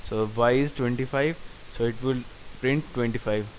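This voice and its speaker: A man walks through code slowly and methodically, in a flat, even voice with little rise and fall. The recording is dull and lo-fi, with a faint hiss underneath. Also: mid-range voice. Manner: methodical, step-by-step explaining. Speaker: programming tutor. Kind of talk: screen-recorded coding tutorial.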